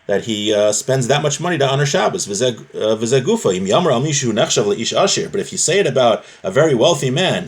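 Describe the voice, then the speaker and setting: Man teaching a text conversationally. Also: mid-range voice. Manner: conversational, explanatory, repeats key phrases. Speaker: religious teacher, rabbi. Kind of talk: informal text lesson, reading Hebrew and explaining in English.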